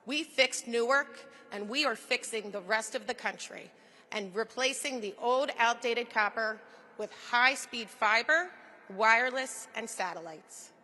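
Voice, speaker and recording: Bright-voiced woman presenting in a hall. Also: fairly high pitch, a little slow. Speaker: program presenter. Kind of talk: scripted public presentation.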